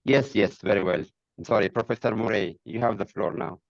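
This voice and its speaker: A man's voice: on the low side, a little fast, gravelly, no echo, dull audio. A man moderating a webinar over a video call, polite and formal, with fillers.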